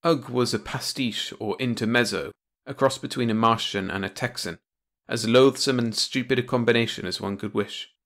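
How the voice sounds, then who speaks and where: A man's voice: mid-range voice, moderate pace, fairly bright tone. Guy narrating a silly old sci-fi audiobook, mid-range voice. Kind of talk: scripted narration of comic pulp sci-fi short story.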